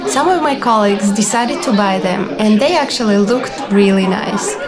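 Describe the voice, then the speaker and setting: A young woman reading scripted travel vlog narration in a clear, orderly, explanatory manner. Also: fairly high pitch. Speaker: vlogger and cruise ship receptionist. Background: background music.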